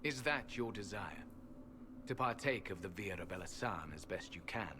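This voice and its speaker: An actor playing a proud, stern ancient elf guardian in a fantasy video game, solemn and formal. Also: male voice, low-pitched, expressive, moderate pace, noisy background.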